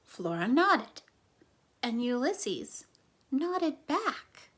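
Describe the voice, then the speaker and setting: A woman narrates a children's storybook in a fairly high voice with a soft husky edge. Her reading is expressive, and she speaks as someone explaining the tale to young listeners. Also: extremely slow. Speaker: read-aloud narrator.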